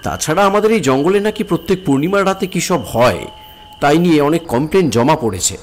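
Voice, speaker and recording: A man voicing a calm, lively forest ranger. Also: a little high, fast talker, a little hiss or hum, lightly acted. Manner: calm, reasoning, lightly teasing. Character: dutiful older brother, newly posted forest ranger. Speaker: narrating his own thoughts while riding. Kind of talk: Bengali cartoon voice acting.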